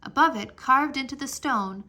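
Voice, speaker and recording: A woman's voice: sits high, normal speed, pushed and bright. Woman reading a children's storybook aloud. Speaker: read-aloud narrator.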